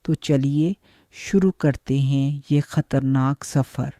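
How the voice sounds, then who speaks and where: A man's voice: medium pitch, slow pace, dark tone, no echo, studio quality. A man reading a scripted, moody horror introduction as narrator.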